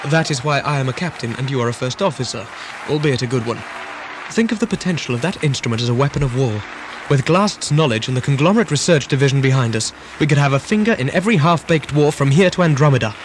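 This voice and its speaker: A man's voice: medium pitch, lively intonation, fast, a faint crowd, a little hiss or hum, natural voice. A man playing a ruthless, logical corporate starship captain in an audio drama, with formal, clipped, pedantic phrasing. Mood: scheming.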